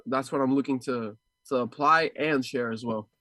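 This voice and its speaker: A man's voice: mid-range voice, moderate pace, sharp tone, dry recording, studio quality. A man from Ecuador and Houston speaking casually and reflectively as an interview guest, a martial arts fighter who searches for words and often repeats them. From Ecuador and Houston, USA.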